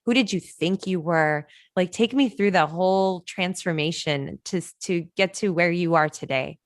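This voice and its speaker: A woman's voice: lowish voice, normal speed, no room sound, studio quality. A woman hosting a podcast interview, effusive and admiring toward her guest. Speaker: podcast host.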